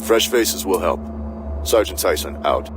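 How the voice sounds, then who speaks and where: A man playing a stern, steady Marine sergeant, speaking in a firm, clipped, authoritative military report style. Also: low-pitched, measured pace, background noise. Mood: stern, concerned. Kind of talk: scripted military report and briefing.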